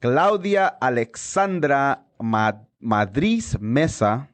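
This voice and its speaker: A man's voice: medium pitch, sing-song, takes it slowly, no room sound. A man hosting a podcast in casual chat, Spanish his first language, talking in a rambling, enthusiastic way with frequent restarts. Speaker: podcast host.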